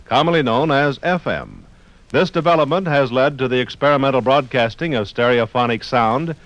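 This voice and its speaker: An American male narrator of medium pitch explains in a formal 1950s announcer style. His intonation moves in lively swings, and the sound is close and dry. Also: dry recording, poor audio. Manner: clear explanatory phrasing, formal announcer delivery. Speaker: documentary narrator. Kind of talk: scripted 1950s radio documentary narration.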